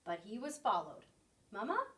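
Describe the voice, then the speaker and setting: A woman reads a storybook aloud for children, her fairly high voice moving with lots of expression. She sounds a little way back from the mic. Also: big pitch swings, unhurried, fairly bright tone, far from the mic, slight background noise. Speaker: story reader. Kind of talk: expressive picture book read-aloud for children.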